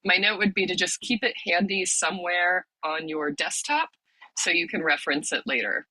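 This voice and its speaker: A woman leading an online workshop on a video call, explaining the steps in a friendly way with many ums, a little hoarse from a cold. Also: fairly low pitch, bright, forceful tone, no room sound, low-quality recording. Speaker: workshop leader, lab director.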